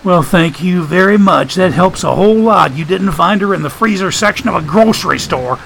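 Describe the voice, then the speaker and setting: Male narrator as sarcastic wisecracker, high voice, folksy, pompous mangled words, audiobook character. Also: lively intonation, moderate pace, vocal fry, fairly bright tone, a little hiss or hum, heavily acted, rough recording. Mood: mocking, exasperated.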